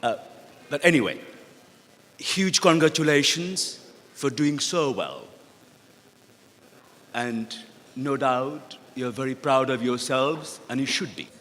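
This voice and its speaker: A middle-aged man with a Bangladeshi accent addresses an awards ceremony in a large reverberant hall. His voice is mid-pitched, and he speaks in measured, halting phrases, encouraging his listeners as a guest speaker. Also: lively intonation, a bit bright. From rural Bangladesh. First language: Bengali. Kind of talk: motivational speech at an awards ceremony. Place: large indoor event hall. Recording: echoey hall with long reverb.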